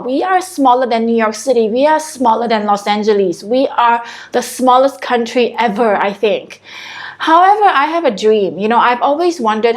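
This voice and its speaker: A woman from Singapore with a Singaporean English accent, hosting a casual spiritual coaching live stream from her home, chatty and repeating her phrases as she talks to viewers. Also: on the high side.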